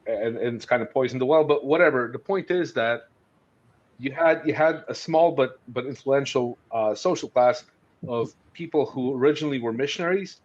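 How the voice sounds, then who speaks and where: A man discussing history conversationally on a podcast, speaking haltingly as he thinks aloud. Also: mid-range voice. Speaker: podcast host discussing books. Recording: dull, lo-fi audio.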